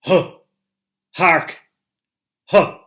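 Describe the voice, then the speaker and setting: A middle-aged man giving a theatrical reading of a novel, moving from hushed murmurs to booming calls. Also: drawn-out words. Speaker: reader of a novel. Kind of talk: dramatic literary reading.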